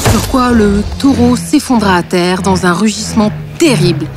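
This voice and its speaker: A woman narrates a fairy tale in a medium-pitched voice. Her delivery is scripted storytelling with a deadpan edge. Background music runs beneath her.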